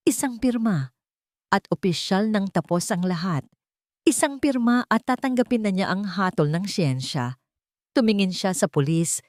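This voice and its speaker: A woman reading a dramatic scripted story as narrator, in a measured and solemn delivery. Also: mid-range voice, a little mellow, no echo, studio quality.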